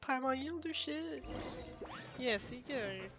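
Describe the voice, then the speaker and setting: High-voiced woman streaming a game. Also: expressive, a little slow, over music. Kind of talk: casual live game commentary.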